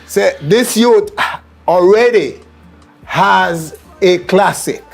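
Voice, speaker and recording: Male TV interviewer, Jamaican accent, middle-aged, fairly high-pitched voice. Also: drawn-out words, gravelly, soft background music. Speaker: television interview host. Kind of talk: on-stage interview show hosting.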